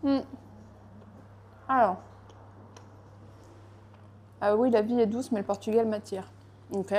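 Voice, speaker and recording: A woman's voice: mid-range voice, takes it slowly, warm tone. A woman chatting casually, hesitant, with frequent fillers and restarts. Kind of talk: casual chat. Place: lives in Portugal.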